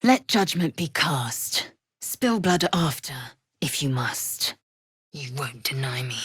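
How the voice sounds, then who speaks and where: A woman playing a proud, stern githyanki warrior in a fantasy video game, with a husky rasp and clipped, imperious, formal delivery. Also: deep voice, very animated, slow, fairly bright tone, no echo, studio quality, full character voice. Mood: stern, haughty.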